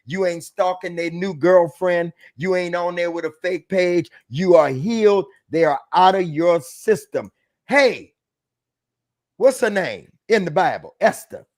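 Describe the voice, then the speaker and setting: A man's voice: high voice, lively intonation, unhurried, a little mellow. A man as a guest author giving emphatic, sermon-like advice, calling out to the audience with rhetorical questions.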